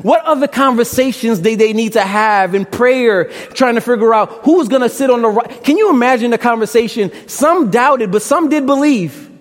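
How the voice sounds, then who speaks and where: A man's voice: very high voice, moderate pace, hardly any pauses. A young man from Brooklyn with a Brooklyn accent preaching a sermon on a campus, with impassioned rhetorical questions and a little casual slang. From Brooklyn, New York. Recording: long reverb in a large hall.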